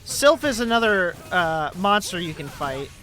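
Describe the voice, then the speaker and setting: A man's voice: sits high, expressive, unhurried, pushed and bright, low-quality recording. A man streaming a game live, rambling and joking with frequent swearing. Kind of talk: casual gaming livestream commentary. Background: game music.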